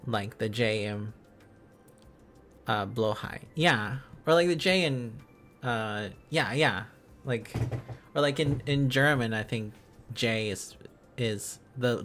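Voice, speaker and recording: A man hosts a casual live-stream lesson, taking his time and thinking out loud. His delivery is slow, hesitant and playful, wandering as he goes. Music plays faintly behind his medium-pitched voice.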